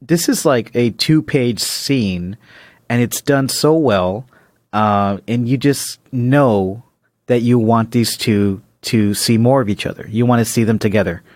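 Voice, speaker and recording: A man's voice: medium pitch, a little slow, a little mellow, no echo, clean studio sound. A man co-hosting a podcast, talking casually and conversationally about comic books. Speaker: podcast co-host. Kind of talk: casual comic book discussion.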